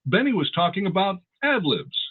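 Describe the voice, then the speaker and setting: A man's voice: high-pitched, sing-song, moderate pace, slightly rough, narrow, tinny audio, heavily acted. A man playing an old-time radio announcer, giving scripted narration of show-business history. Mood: storytelling.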